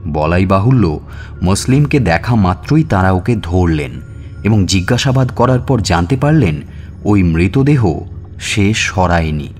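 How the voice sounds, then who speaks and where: A man narrating a scripted suspense story in a measured, expressive, dramatic way. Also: low-pitched. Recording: music bed under narration.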